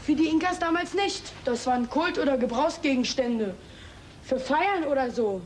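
High-voiced, eager kid. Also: female voice, lively intonation, normal speed, a little hiss or hum. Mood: eager, explaining. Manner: casual, colloquial. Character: eager, know-it-all child, casual and a little dreamy. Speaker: performer in a German audio drama. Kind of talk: German children's audio drama acting.

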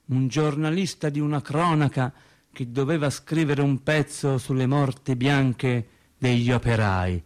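A bitter, sarcastic factory worker in a theatrical radio monologue. The voice sits high for a man and moves slowly, leaning emphatically on repeated words in plain, colloquial speech. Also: fairly bright tone. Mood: grim. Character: bitter, sardonic Italian factory worker recounting workplace deaths. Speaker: stage actor.

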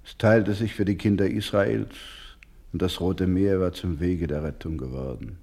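Radio play: gentle, unbending older male doctor, low register, grave and deliberate, formal phrasing. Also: fairly even intonation, gravelly. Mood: solemn resolve. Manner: solemn, deliberate, formal, with emphatic repetition. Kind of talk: German radio play acting.

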